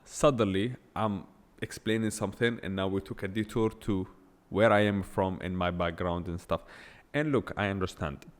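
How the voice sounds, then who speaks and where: A man's voice: low-pitched, steady tone, normal speed, long reverb tail. A man hosting a solo podcast, talking without a script in a blunt, emphatic way and firing off rhetorical questions. English is not his first language. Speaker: podcast host. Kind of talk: unscripted solo podcast monologue.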